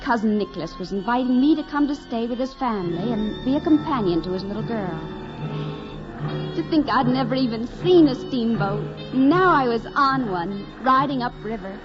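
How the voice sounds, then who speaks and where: A naive young country woman in an old radio melodrama. Her voice is on the high side, earnest and gushing, with music underneath. The recording is muffled and vintage. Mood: thrilled. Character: naive, eager young farm woman, dreamy and breathlessly excited. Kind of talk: 1940s radio acting, dramatic first-person narration.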